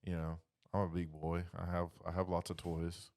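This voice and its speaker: A man with a low, resonant voice leads a casual faith discussion. His intonation stays quite flat. He reflects as he goes, halting and padding phrases with "you know".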